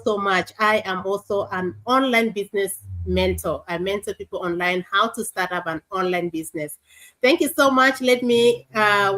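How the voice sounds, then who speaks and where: A woman hosts a live online interview in an earnest manner. She often repeats words for emphasis and pauses with small fillers as she speaks, in a voice of average pitch for a woman. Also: a bit bright, low-quality recording. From South Sudan. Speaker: host. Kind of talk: livestream interview.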